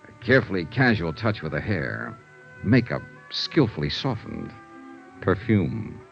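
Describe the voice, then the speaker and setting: An American actor playing a cool, skeptical insurance investigator in a vintage radio noir, with dry, clipped, understated delivery. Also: male voice, sits low, very animated, unhurried, creaky, full character voice. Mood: wry, knowing. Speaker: actor in a radio detective serial. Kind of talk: 1950s radio noir acting and first-person narration. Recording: dull vintage radio recording, music behind.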